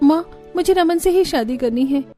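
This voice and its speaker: A woman playing a gentle, respectful and dutiful young bride in a cartoon story, speaking softly. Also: high voice, animated, normal speed, soft background music. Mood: pleading.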